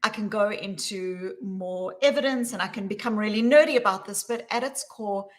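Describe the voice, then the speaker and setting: A woman with a South African accent, answering interview questions in an earnest, measured way. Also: mid-range voice. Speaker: guest, psychologist.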